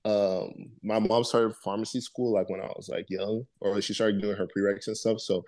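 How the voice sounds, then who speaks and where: A man's voice: lowish voice, little pitch movement, normal speed, warm tone, no echo. Laid-back young man, dry sound. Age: 20s. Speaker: podcast co-host. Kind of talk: casual chat. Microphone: video call.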